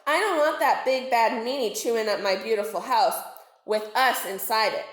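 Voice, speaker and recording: A woman's voice: fairly high pitch, moderate pace, natural voice. A woman voicing a young female narwhal, a house-proud sister in a storybook with girlish, image-conscious slang. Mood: worried. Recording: echoey room.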